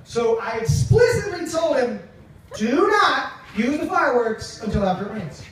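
Best man, young adult male, high-pitched voice, teasing storyteller with halting pauses, heard from a distance in a very echoey tent. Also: sing-song, fairly bright tone. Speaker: best man, brother of the groom. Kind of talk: wedding toast, humorous storytelling. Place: large wedding reception tent. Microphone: handheld microphone over speakers, filmed from a few metres away. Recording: echoey, distant, noisy event recording.

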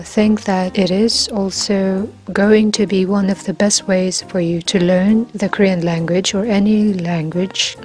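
A woman from the Philippines, talking slowly and softly about learning languages, sometimes dropping to near-whispers. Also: mid-range voice. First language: Filipino. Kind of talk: slow reflective monologue.

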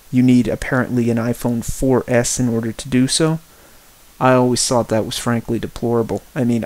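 A man giving a spoken personal-opinion tech review as a reviewer, in deliberate, halting phrases with emphatic repetition. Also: medium pitch, a little mellow, poor audio. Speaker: product reviewer.

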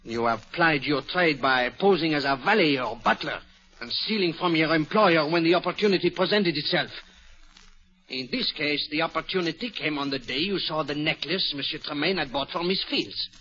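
An actor playing a fussy, clever Belgian detective with a French accent, in theatrical 1940s radio acting. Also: male voice, fairly high pitch, normal speed. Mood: probing. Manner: theatrical, lively, coaxing and ironic. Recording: dull old radio broadcast.

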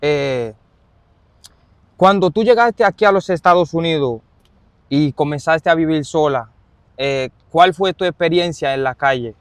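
A man conducting a casual interview outdoors, phrasing his questions in a halting, roundabout way. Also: on the high side, clean tone, no room sound. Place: outdoors in an open park.

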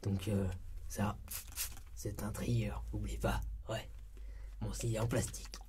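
A young man playing a cheeky, laid-back ex-con turned rookie barber in a comedy ASMR roleplay, soft-spoken and breathy, with slangy, hesitant talk. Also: on the low side, little pitch movement, takes it slowly, a little hiss or hum. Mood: cheeky, salesy. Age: young adult. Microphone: close binaural microphone.

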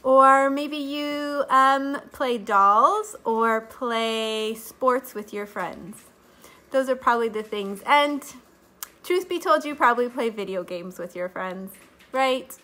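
A woman's voice: a little high, slow pace, pushed and bright. A woman in her thirties, a little stuffy from allergies, giving a children's Bible lesson to camera in a sing-song teacher voice, recorded close up at home. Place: living room at home. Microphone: webcam or phone camera at close range.

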